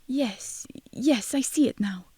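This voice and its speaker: A woman's voice: high-pitched, slow, darkish tone, breathy edge, dry recording, exaggerated voice. A woman playing a wry, cynical young fake psychic, narrating an audio drama in a sardonic, confiding way. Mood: feigned psychic earnestness. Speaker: first-person narrator and lead character.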